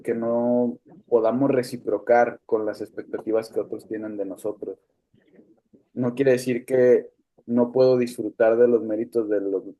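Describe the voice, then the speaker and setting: A man teaching a spiritual class over a video call. His voice is mellow and warm, at a middle pitch, calm and measured with reflective pauses. The sound comes through a computer mic, and at times his voice drifts away from it. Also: rough recording. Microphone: computer mic on a video call, no headphones.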